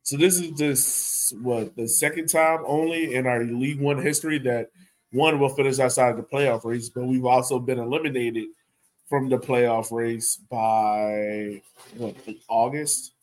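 A man's voice: mid-range voice, fairly even intonation, measured pace, rough recording. A man hosting a sports podcast, chatting casually and informally. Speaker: podcast host.

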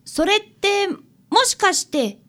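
A woman's voice: very high-pitched, big pitch swings, slow pace, fairly bright tone, exaggerated voice. A woman playing a polite, earnest fifth-grade boy who is an apprentice detective and narrator in a radio drama. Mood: earnest, curious.

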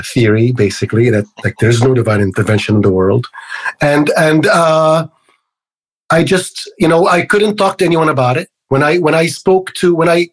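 A man's voice: mid-range voice, slightly rough, low-quality recording. A man from Egypt with an Egyptian accent, answering conversationally as an interview guest, often repeating words as he works through his explanation. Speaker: interview guest, activist and organization co-founder.

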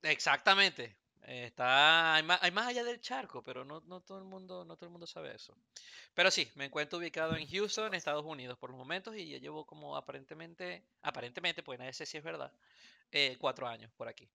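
A man's voice: on the high side, expressive, a little fast, gravelly, bright, forceful tone, no echo, clean studio sound. A Venezuelan man in his thirties hosting a casual podcast, joking and rambling with many fillers and restarts. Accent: Venezuelan Spanish. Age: 30s. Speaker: podcast host. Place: Houston, United States.

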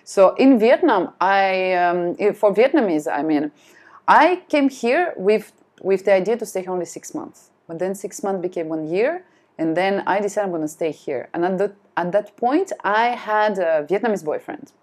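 A woman whose first language is Russian, chatting as a podcast guest in a lively, self-deprecating way. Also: mid-range voice, normal speed. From France. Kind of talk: casual chat.

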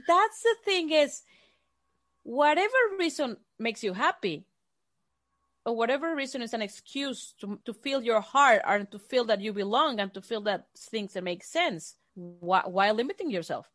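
A woman's voice: a little high, lively intonation, moderate pace, fairly bright tone. A woman from Mexico with a Mexican accent and native Spanish, talking casually and emphatically as an interview guest.

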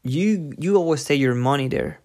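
A podcast host giving an informal lesson from a book, thinking aloud haltingly and repeating difficult words. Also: mid-range voice, expressive, darkish tone. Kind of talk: informal lesson read from a book with personal asides.

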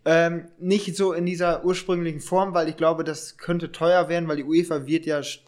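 A male podcast host with a high-pitched voice chats casually. He explains things haltingly, rephrasing as he goes, in an informal conversational style. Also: level tone. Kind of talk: casual podcast chat in German.

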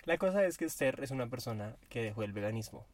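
A young man hosting a podcast, talking in a loose, joking way with halting fillers, recorded inside a car. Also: mid-range voice, lively intonation, no echo. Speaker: podcast host. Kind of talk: casual podcast chat.

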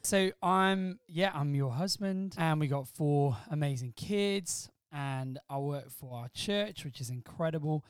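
A man's voice: fairly high pitch, measured pace, few pauses, clear, resonant tone, dry recording, studio quality. A middle-aged man from Wales who says he doesn't sound Welsh, chatting as a guest on his wife's podcast, joking and teasing as he tells stories. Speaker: podcast guest, host's husband. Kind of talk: casual chat.

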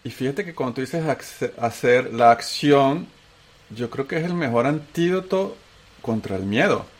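A Colombian-born man with a Venezuelan accent, hosting a casual talk show and telling his story with animated emphasis. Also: medium pitch, measured pace. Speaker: host. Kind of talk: casual chat.

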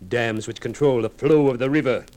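A man's voice: mid-range voice, a little mellow, natural voice. Man acting pompous, menacing desert warlord. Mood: grandiose, commanding. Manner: pompous, formal, measured. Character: grandiose, menacing desert warlord and would-be king of Egypt. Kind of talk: old-time radio villain acting.

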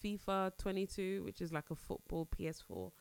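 A woman's voice: medium pitch, fairly even intonation, normal speed, gravelly, clean tone, dry recording. A woman in her thirties hosting a casual podcast, chatting candidly with a congested, nasal voice as she recovers from a cold. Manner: chatty, candid, rambling with restarts. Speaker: podcast host. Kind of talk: casual personal chat.

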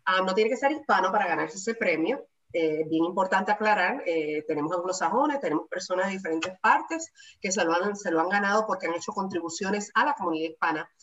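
A woman from a chamber of commerce, speaking warmly and emphatically about an award. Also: fairly low pitch, animated, brisk, recorded from a distance, dull audio, a little hiss or hum. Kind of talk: introductory praise.

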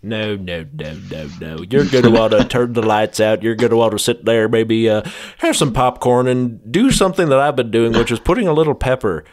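Male podcast co-host, medium pitch, casual comic chat, halting mock-earnest delivery. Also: a little mellow.

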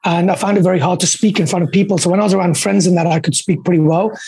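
Man, husky, gravelly, quick, earnest storytelling. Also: high voice, fairly even intonation, darkish tone. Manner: quick, earnest, repeats words. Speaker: podcast guest, dentist and coach. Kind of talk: candid storytelling.

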